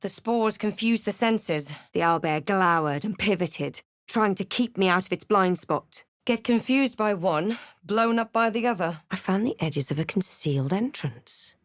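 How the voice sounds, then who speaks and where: An actress playing a thoughtful, wary adventurer and spellcaster in a fantasy video game, speaking in a measured, deliberate way with dry snark. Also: female voice, medium pitch, lively intonation, dry recording, lightly acted. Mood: dry, practical. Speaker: video game player character. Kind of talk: scripted game voice lines, narration and barks.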